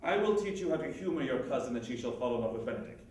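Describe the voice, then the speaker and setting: A man's voice: mid-range voice, lively intonation, rapid-fire, a little mellow, voice pushed up, natural voice. A man playing a jovial, scheming nobleman in a playful, declamatory Shakespearean monologue. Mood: playful, exuberant. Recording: very echoey room, distant mic.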